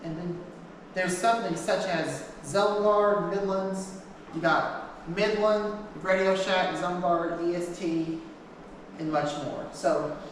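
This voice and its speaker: Woman teaching a class, kinda halting, repeats herself, super echoey and far from the mic. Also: lowish voice. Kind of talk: informal class talk, partly read from notes. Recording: echoey hall, distant mic, noisy background.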